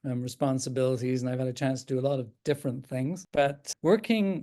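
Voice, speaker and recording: Older irish guy on a zoom call, warm mellow voice, stops and restarts a lot. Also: a little high. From Ireland. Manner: thoughtful, halting with many restarts and soft laughs. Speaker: guest speaker, geriatric psychiatrist. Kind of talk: casual talk on a video call. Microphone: video call.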